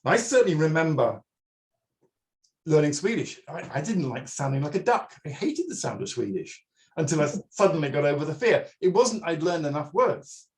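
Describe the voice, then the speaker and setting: A man's voice: on the high side, normal speed. Older man leading an online workshop. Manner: animated, storytelling, asks the audience directly. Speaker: workshop presenter. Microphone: video call.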